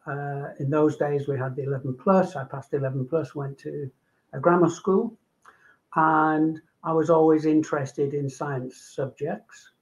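An older man with a Manchester accent speaks on a video call from his home, stopping often to restart his words. His voice sits a touch high for a man. The sound is dull and lo-fi. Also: fairly even intonation. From England. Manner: halting, with many ums and repeats. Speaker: guest doctor. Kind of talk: casual video call interview. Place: home room.

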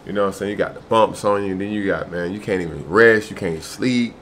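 Low-voiced American man, slangy casual vlogger. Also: fairly quick, fairly bright tone, a little hiss or hum. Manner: slangy, repeats "man", "bro" and "you know what I'm saying". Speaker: truck driver vlogger. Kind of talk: casual vlog talk to camera. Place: small furnished hotel room, indoors.

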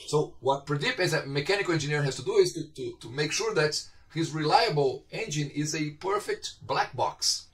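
A middle-aged man with a Brazilian accent teaches an online class from a home office, his slightly higher voice sitting a little back from the webcam mic. He talks conversationally, often asking his students questions. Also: expressive, normal speed, hardly any pauses, a bit bright. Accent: Brazilian Portuguese. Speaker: university lecturer. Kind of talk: informal online lecture. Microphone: webcam on a video call. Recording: slightly distant video-call audio.

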